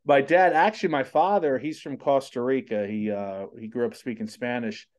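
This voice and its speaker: A man's voice: medium pitch, moderate pace. Chatty man hosting an interview. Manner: chatty, rambling, upbeat. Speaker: host. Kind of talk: casual video-call interview. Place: small home studio room. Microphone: studio mic on boom arm.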